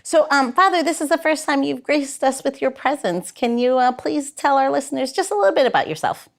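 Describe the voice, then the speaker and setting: A woman's voice: high-pitched, expressive, fairly quick, creaky. A woman hosting a podcast, interviewing her guests in a casual, conversational style.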